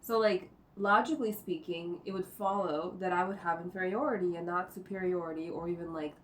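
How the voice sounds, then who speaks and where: Low-voiced woman musing aloud, mic far off. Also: steady tone, fairly quick. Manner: thinks out loud with many fillers and curses. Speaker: vlogger. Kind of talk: rambling think-aloud monologue. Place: indoors at home. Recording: distant mic with faint noise.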